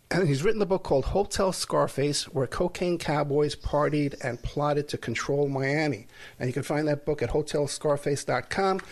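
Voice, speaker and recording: A man hosting an interview podcast, asking questions in a relaxed way with frequent pauses and fillers. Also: a little high. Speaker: podcast host, private investigator. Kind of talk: casual interview.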